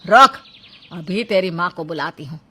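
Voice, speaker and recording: A woman playing a scolding, domineering middle-aged mother-in-law in a dramatized Hindi moral story, with sharp, accusing delivery. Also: fairly low pitch, expressive, a little slow, husky, exaggerated voice. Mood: angry scolding.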